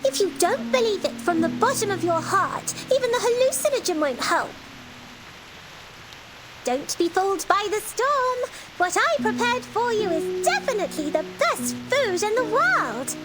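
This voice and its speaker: A woman's voice: extremely high pitch, big pitch swings, moderate pace. A woman playing a prim, earnest young noble lady in a video game, with theatrical delivery. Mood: proud, earnest. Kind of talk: scripted game character acting. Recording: music behind the voice.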